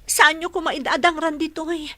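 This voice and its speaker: Young female captive, high voice, bright, anxious, pleading, radio drama, music behind. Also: steady tone, fairly quick, no room sound. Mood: pleading, weary. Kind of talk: Ilocano radio drama acting.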